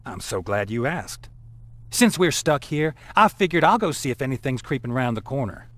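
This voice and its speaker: A man's voice: mid-range voice, sing-song, moderate pace, a bit bright. A man playing a cocky, wisecracking survivor scout in a video game, breezy and sarcastic. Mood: teasing, breezy.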